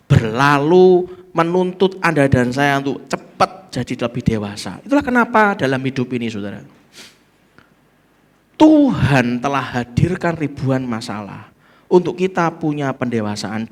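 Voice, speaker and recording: A man's voice: mid-range voice, sing-song, normal speed. A man with a Javanese accent preaching a sermon as pastor, emphatic, calling the congregation to say amen and slipping into local phrases.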